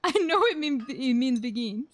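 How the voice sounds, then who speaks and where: A woman's voice: sits high, expressive, moderate pace. A woman streamer giving casual live game commentary, talking back to the characters informally. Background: game music.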